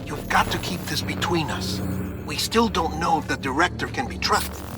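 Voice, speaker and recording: Male actor as grave, secretive game ally leaving a careful confession, slightly high voice, heavy score underneath. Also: moderate pace, natural voice. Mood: grave, confiding. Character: earnest, secretive, apologetic man confiding in an ally. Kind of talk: confidential recorded message in a video game cutscene. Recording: prominent music behind the voice.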